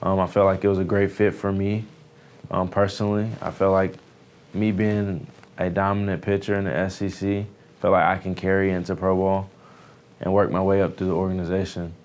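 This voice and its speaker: A man's voice: deep voice, little pitch movement, fairly quick, darkish tone. A man in his early twenties with an American accent, a young athlete giving casual, somewhat hesitant interview answers full of fillers. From Houston area, United States. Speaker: baseball pitcher, newly drafted player.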